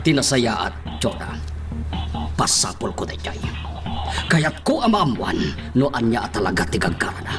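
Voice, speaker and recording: Domineering boss with swooping delivery. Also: male voice, mid-range voice, big pitch swings, unhurried, pauses often, music in the background. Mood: stern, probing. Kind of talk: radio drama acting.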